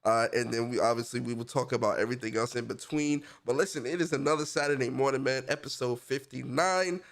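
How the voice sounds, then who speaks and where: A man's voice: medium pitch, fast talker. A young man with a stuffy, congested voice from a cold, co-hosting a casual gaming podcast in a laid-back, slangy way. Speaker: podcast co-host. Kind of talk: casual chat.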